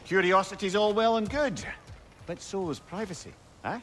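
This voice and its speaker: A man's voice: high-pitched, moderate pace, a bit bright, a little hiss or hum. A man with a Scottish accent playing a wry, garrulous old sage in a video game, chatty and learned. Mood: wry, guarded. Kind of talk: video game cinematic acting.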